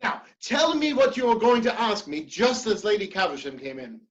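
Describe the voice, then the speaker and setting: A man playing an earnest, dignified gentleman politician, speaking formally and gravely in a theatrical reading of a period comedy. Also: high-pitched, big pitch swings, normal speed, highs cut off. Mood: stern.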